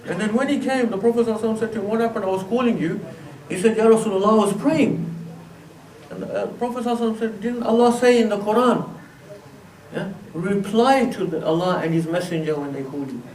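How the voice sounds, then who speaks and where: High-voiced man teaching in a mosque. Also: darkish tone. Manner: conversational, many "you know" fillers. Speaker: Islamic teacher. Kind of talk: religious lecture commentary. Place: mosque prayer hall. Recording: echoey mosque hall, distant mic.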